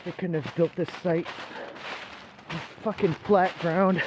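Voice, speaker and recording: Man acting as nervous explorer, higher-pitched male voice, slow halting muttering, found-footage horror, noisy outdoor audio. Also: fairly even intonation. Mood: grim, irritated. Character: nervous, rambling man exploring a contaminated wilderness site.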